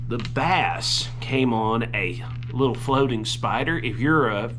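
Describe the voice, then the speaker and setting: A man's voice: medium pitch, slow, slight background noise. A young man with a drawl, talking through a casual fishing vlog in a folksy, rambling way. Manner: folksy, rambling, excitable when fish bite. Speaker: fishing vlogger. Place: outdoors by a pond, later indoors at home.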